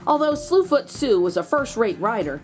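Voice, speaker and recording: A woman with a fairly high voice reads a children's storybook aloud over music. Her delivery is expressive and very animated, drawing out words for dramatic effect. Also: moderate pace. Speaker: host reading a children's picture book aloud.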